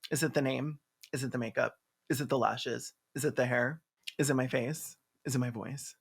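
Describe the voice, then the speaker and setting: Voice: mid-range voice, steady tone, moderate pace, creaky, breathy edge. A video essayist giving snarky, campy, sarcastic comedic commentary on a film.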